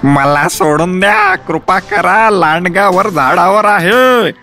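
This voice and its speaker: A man voicing a frightened wild boar in a children's animated fairy tale, pleading for mercy. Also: sits high, slow pace, soft background music, exaggerated voice. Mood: terrified, pleading.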